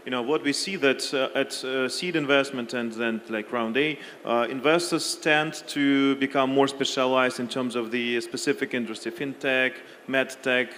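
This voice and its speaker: A man speaking as a panelist in a relaxed, lightly humorous way. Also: mid-range voice. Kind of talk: panel discussion. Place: conference hall. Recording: reverberant hall with faint noise.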